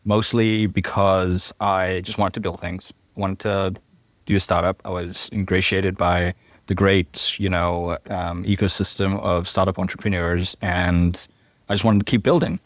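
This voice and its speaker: A man's voice: low-pitched, no echo. Man with a mixed island-Pacific accent. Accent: mixed Fijian, New Zealand, Australian and American. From Fiji, raised in Hawaii. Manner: rambling, self-deprecating, joking asides. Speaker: podcast guest, software engineer. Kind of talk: casual podcast chat.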